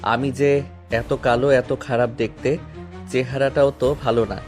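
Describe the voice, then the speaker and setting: A man's voice: medium pitch, flat delivery, a little slow, darkish tone, soft background music, natural voice. A man acting a humble, self-deprecating young servant in an audio story drama. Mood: dejected, self-deprecating.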